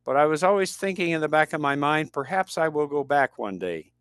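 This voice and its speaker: Older american guy talking about teaching languages, smooth resonant voice, dry sound. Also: fairly high pitch, animated, fairly quick, fairly bright tone, no room sound. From United States. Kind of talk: interview conversation.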